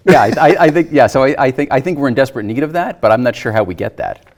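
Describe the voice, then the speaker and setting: A man in his fifties talking casually about a film as a guest, in a halting way with repeated, restarted phrases. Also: medium pitch, expressive, a little mellow. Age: 50s.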